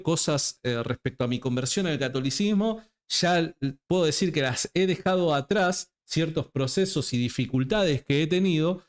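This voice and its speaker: A man with an Argentine accent, hosting a casual interview in a colloquial, affectionate way and repeating words for emphasis. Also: fairly high pitch. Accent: Argentine (Rioplatense) Spanish. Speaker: interviewer, host.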